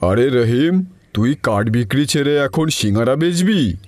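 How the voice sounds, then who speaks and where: A man's voice: mid-range voice, lively intonation, measured pace, a little mellow, no room sound, natural voice. A man voicing a blunt, skeptical villager at market in a cartoon. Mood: surprised. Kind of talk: cartoon dialogue.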